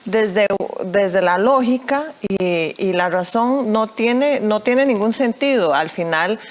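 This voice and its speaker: A woman's voice: mid-range voice, expressive, takes it slowly. A young Costa Rican woman, a guest artist in a TV news studio interview, speaking thoughtfully with many fillers and restarts. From Costa Rica.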